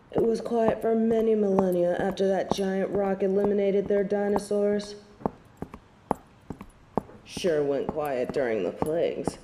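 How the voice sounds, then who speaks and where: A woman's voice: mid-range voice, takes it slowly, pauses often, reverberant, noisy background, close to an everyday voice. A woman playing a snobbish, scornful angel who judges human souls in formal phrasing, in a scripted audio drama monologue. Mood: irritated disdain. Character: haughty, disdainful female angel judging human souls.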